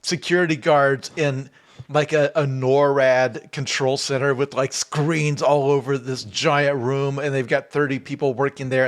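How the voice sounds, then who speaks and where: Animated storytelling man on a podcast. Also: mid-range voice. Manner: animated storyteller, acts out quotes in raised voices. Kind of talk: casual podcast chat.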